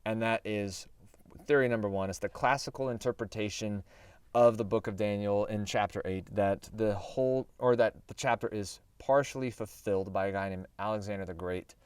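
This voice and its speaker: A male host teaching Bible prophecy in a casual, rambling way. He corrects himself as he goes, and his voice is slightly hoarse. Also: fairly low pitch, normal speed, no room sound, far from the mic. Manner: chatty and rambling, with self-corrections and asides.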